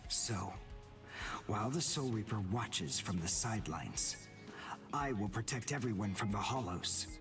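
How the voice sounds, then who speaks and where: A man's voice: lowish voice, animated, unhurried, far from the mic. A man playing a cool, proud teenage warrior in an English anime game dub, with a formal, precise, haughty manner. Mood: cool, resolute. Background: loud game music.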